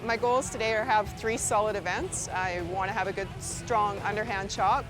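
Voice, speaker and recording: A woman's voice: mid-range voice, steady tone, normal speed, over music, rough recording. A woman athlete from Canada speaking matter-of-factly in an interview. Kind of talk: interview soundbite.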